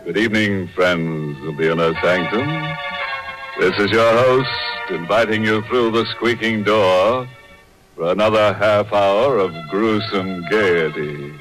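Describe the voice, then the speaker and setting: An actor playing a gleefully morbid horror show host in a 1940s radio style, with drawn-out, mock-sinister punning delivery. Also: male voice, lowish voice, lightly acted. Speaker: host and narrator. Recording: old 1940s radio recording with music.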